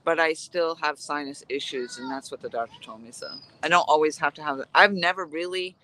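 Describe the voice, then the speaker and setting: A woman's voice: deep voice, fairly quick, bright, forceful tone, low-quality recording. A woman with a nasal voice, chatting casually and rambling as she vlogs outdoors while fishing. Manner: chatty, rambling, laughs easily. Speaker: vlogger. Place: outdoors at a lakeside camp.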